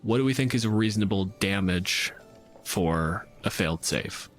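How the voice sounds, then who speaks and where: A man's voice: fairly low pitch, normal speed, faint music behind. Casual man running a tabletop game. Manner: informal, freely swearing. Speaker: game master.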